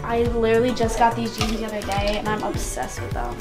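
A woman's voice: fairly high pitch, sing-song, brisk. A young woman showing her room in chatty, jokey casual talk. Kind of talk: casual chat. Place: large house, indoor rooms. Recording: echoey rooms with loud music.

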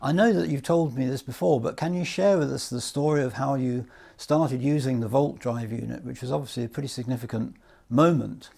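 A man in his seventies with an English accent, asking measured questions as an interviewer. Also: medium pitch, creaky, darkish tone. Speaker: interviewer, audio journalist. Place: indoor hi-fi demonstration room. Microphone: lapel mic.

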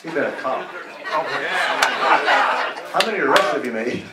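A man's voice: fairly high pitch, lively intonation, normal speed. An older man, a pastor, emceeing a graduation service on a church stage, asking graduates playful, teasing questions on a handheld mic. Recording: echoey church hall, far from the camera, crowd noise.

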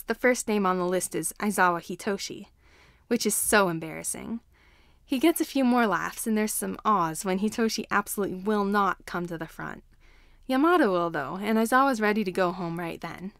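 A woman's voice: mid-range voice, expressive, normal speed, creaky, a bit bright, dry recording. Woman narrating a story, dry close sound. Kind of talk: scripted story narration of fan fiction.

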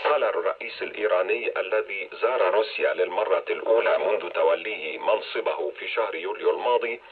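A man's voice: sits low, rapid-fire. A male newsreader reading the news, heard through a noisy narrow-band shortwave radio.

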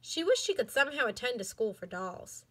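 Bright, high-voiced woman reading aloud. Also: fairly quick. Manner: clear, expressive storytelling. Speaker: elementary school educator. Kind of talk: children's book read-aloud.

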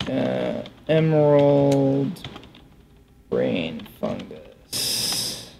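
A man in his thirties giving casual game commentary, thinking aloud in a halting, musing, bemused way into a headset mic. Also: mid-range voice, big pitch swings, creaky, background noise. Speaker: gaming video host. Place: indoor room at a desk.

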